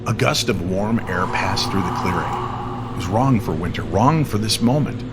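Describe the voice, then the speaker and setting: A man reads dramatic narration in the manner of old-time radio. His voice sits somewhat low, his delivery is measured and suspenseful, and music plays clearly behind him. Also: animated. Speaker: storyteller.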